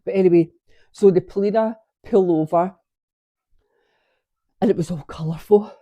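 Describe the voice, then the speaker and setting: A woman's voice: on the low side, normal speed, dry recording, dull audio. A woman chatting casually to camera at home about her knitting, a bit congested from sinus trouble, rambling and breaking off mid-sentence. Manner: chatty, rambling, self-interrupting. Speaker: knitting vlogger. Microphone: camera on a tripod.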